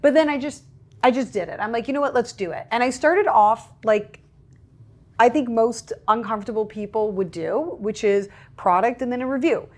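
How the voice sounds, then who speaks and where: A woman's voice: a little high, moderate pace. Chatty young woman. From Portugal. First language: Portuguese. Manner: chatty, acts out her own past remarks in a raised voice. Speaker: content creator guest. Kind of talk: casual interview chat.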